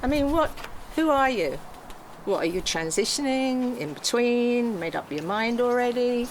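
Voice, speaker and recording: A woman's voice: fairly high pitch, animated, measured pace. A woman playing a blunt, brash, middle-aged aspiring actress with a wisecracking manner in a table read. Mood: brash, curious. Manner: blunt, exclamatory. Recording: faint room noise.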